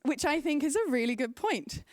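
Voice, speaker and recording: A woman's voice: high-pitched, animated, normal speed, no echo, clean studio sound. A woman hosting a live game show at a conference in a playful, teasing way.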